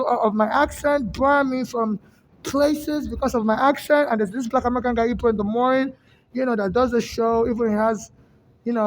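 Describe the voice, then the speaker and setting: A woman rambling through a long personal monologue, halting and restarting often. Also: on the high side, noisy background.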